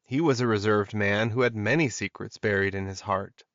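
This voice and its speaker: A man's voice: fairly low pitch, moderate pace, a bit bright, no echo, dull audio. A man reading scripted fiction as an audiobook narrator.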